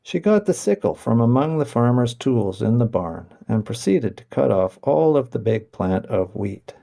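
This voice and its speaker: Gravelly man reading a children's storybook. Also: mid-range voice, very animated, moderate pace, dark tone. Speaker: audiobook narrator. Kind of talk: scripted children's storybook narration.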